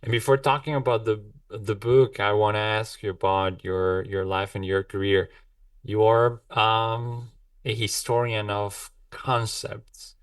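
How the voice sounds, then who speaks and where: A man hosting an interview podcast, talking warmly and repeating words as he searches for phrasing. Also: on the low side, level tone, a little slow, clean tone, dry recording, distant mic. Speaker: podcast host.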